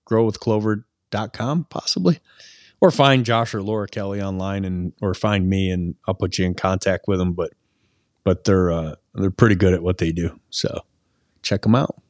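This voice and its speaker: A man's voice: low voice, fairly even intonation, moderate pace, no echo, clean studio sound. A man co-hosting a podcast, talking loosely with many fillers and restarts, laughing easily. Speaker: podcast co-host. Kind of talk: casual podcast chat.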